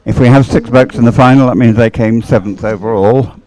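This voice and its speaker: A man's voice: mid-range voice, fairly quick, dark tone, rough recording. A British man commentating live on a race in a conversational, somewhat hesitant way, thinking aloud.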